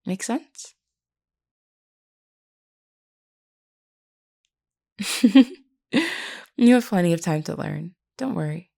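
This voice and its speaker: A woman playing a gentle, courteous vampire queen in an intimate audio roleplay, speaking politely and reassuringly. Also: lowish voice, expressive, slow, vocal fry, heavily acted. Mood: warm, reassuring. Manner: polite, soft-spoken reassurance with hesitant asides.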